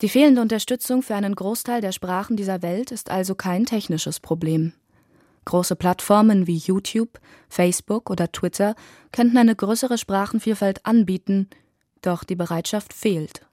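Mellow-voiced woman reading a radio report. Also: mid-range voice, normal speed, no room sound, studio quality. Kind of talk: scripted radio report narration.

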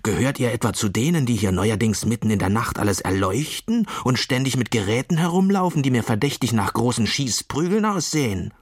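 A storyteller voicing a gruff, menacing male tawny owl with a hoarse croak, scornful and suspicious. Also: medium pitch, lively intonation, a little fast, few pauses, no room sound, studio quality.

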